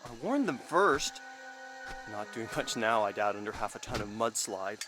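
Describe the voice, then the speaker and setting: A man's voice: fairly low pitch, very animated, moderate pace. An actor playing an unhinged male survivor of a jungle expedition, erratic and rambling, in a video game cutscene. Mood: bitter, sardonic. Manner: erratic, muttering and bursting out, cackling. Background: music.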